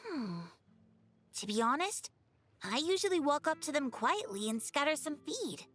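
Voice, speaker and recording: Eager high-pitched little boy. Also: very animated, a little slow, fairly bright tone, exaggerated voice. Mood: thoughtful, hesitant. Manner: earnest, deferential, hesitant. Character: eager, polite little boy who feeds pigeons. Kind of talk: video game voice acting. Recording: music under the dialogue.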